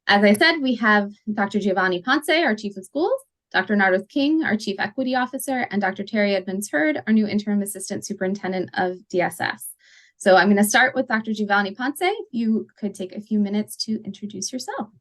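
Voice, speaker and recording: A woman's voice: mid-range voice, expressive, a little fast. A woman hosting an online parent group meeting, talking casually with fillers and introducing speakers.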